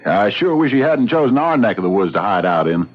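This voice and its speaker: A man's voice: on the low side, very animated, fairly quick. An American man acting a plain-spoken frontier sheriff in a radio drama, folksy and wary, with hesitant country turns of phrase. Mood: grim, wary. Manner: folksy drawl, hesitant, colloquial. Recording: dull, lo-fi old radio recording.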